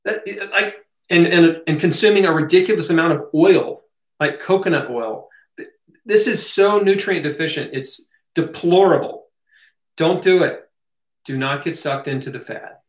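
A man's voice: a little high, moderate pace. An American man in his thirties or forties, answering audience questions in a casual, opinionated, colloquial way. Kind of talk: casual Q&A talk to camera. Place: small home office, indoors. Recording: roomy echo of a home room.

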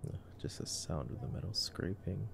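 A young man doing casual boyfriend-roleplay movie commentary for ASMR, in a soft, drowsy murmur with slangy phrasing. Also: deep voice, animated, normal speed, vocal fry, noisy background. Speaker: ASMR voice performer.

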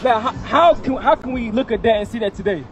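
A man's voice: very high-pitched, quick pace, highs cut off, soft background music, some chatter behind. Emphatic young man preaching outdoors. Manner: emphatic, questioning, repeats words. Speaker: street preacher. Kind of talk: outdoor street preaching with questions. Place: outdoors by a parking lot. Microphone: handheld mic.

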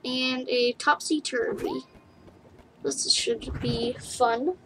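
A woman casually narrating her play of a game for viewers, rambling and chatty, with giggles and excited yelps. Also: high voice, very slow, a little hiss or hum, low-quality recording. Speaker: gaming YouTuber. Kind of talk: casual let's play commentary. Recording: game music under the voice.

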